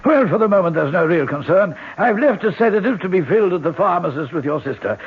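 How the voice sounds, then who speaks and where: An actor playing a dismissive, matter-of-fact old English doctor with a British accent in a radio drama. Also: male voice, high-pitched, lively intonation, quick pace, husky, full character voice. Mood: dismissive, musing. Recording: tinny old radio broadcast.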